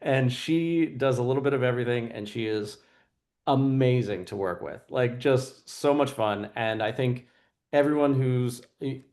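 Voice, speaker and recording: A man's voice: medium pitch, measured pace, a little mellow. A man who teaches school chats in a friendly, easygoing way. His phrasing is loose and casual, with a mid-range voice over a video call. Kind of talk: casual introduction.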